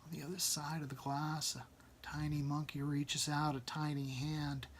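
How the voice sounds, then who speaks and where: A man reading his own short story aloud as narration, with deliberate, dramatic phrasing. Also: fairly high pitch, flat delivery, a little hiss or hum. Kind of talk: dramatic second-person story narration after casual introduction.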